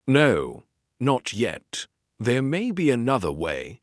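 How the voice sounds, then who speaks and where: A man voicing a sharp, cool-headed Victorian detective who speaks in measured deductions. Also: mid-range voice, huge pitch swings, no echo, clean studio sound.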